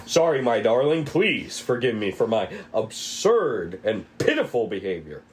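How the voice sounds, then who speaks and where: Man playing a theatrical, brooding detective, slow delivery. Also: lowish voice, very animated. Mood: gallant, earnest.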